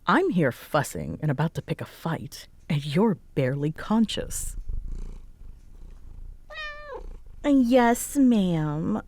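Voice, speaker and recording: Grumpy tsundere roommate, acted by a woman, wildly swooping pitch. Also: very animated, slow pace, heavily acted. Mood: mock indignant. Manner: sarcastic, huffy, sighing exasperation with soft spots. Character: grumpy, teasing tsundere roommate, young woman home from work. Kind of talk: roleplay audio drama, conversational acting.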